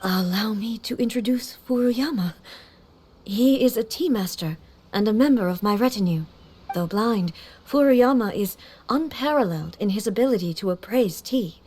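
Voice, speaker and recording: A woman's voice: medium pitch, animated, moderate pace, a little mellow, slightly rough. A woman voicing a solemn, commanding warrior ruler in a video game, speaking in a formal, stately manner. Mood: calm, formal.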